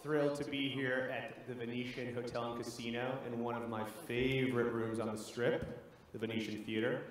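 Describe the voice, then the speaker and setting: A man presenting a show on stage, enthusiastic and a little halting. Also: medium pitch, little pitch movement. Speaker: event presenter. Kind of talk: formal stage announcement. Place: large theater. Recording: echoey theater, faint ambience.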